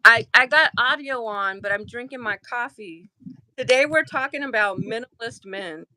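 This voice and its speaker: A woman's voice: on the high side, lively intonation, normal speed, sharp tone, a little hiss or hum, poor audio. A woman hosting a live video show from a close mic, chatting casually, laughing easily, and leaning on "like" a lot. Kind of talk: casual live-stream chat.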